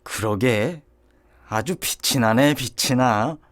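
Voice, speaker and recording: A man's voice: medium pitch, slow pace, fairly bright tone, pitched below the performer's own voice. A man voicing an opinionated village spectator in a fable, folksy and knowing. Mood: delighted, boastful.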